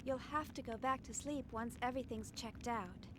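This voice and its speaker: A woman's voice: a little high, moderate pace, background noise, natural voice. A woman voicing a young female chief engineer who gives short, decisive orders in a game cutscene. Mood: gentle. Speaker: game character. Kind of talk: dubbed game cutscene acting.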